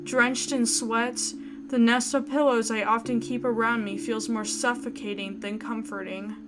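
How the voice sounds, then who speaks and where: A woman voicing an anxious, caring young woman narrating a visual novel in the first person with expressive introspection. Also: on the high side, measured pace, natural voice. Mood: reflective. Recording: guitar music behind. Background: plucked guitar music.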